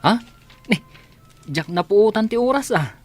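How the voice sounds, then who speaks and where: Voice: mid-range voice, lively intonation, unhurried, soft background music. A performer acting a shy, meek, hardworking grown son in a radio comedy drama. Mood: bashful, flustered.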